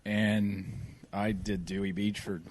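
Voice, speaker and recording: Man, 40s, low voice, relaxed guest who lets sentences trail off. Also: takes it slowly, a little hiss or hum. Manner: laid-back, trailing off, chuckling. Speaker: podcast guest. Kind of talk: casual chat.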